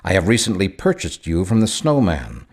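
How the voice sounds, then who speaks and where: Deep-voiced imperious mystery man. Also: huge pitch swings, normal speed, a little mellow, full character voice. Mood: formal, imperious. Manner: formal, pompous, mysterious. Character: Malthus, a secretive, imperious male representative of shadowy investors. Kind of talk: video game character dialogue.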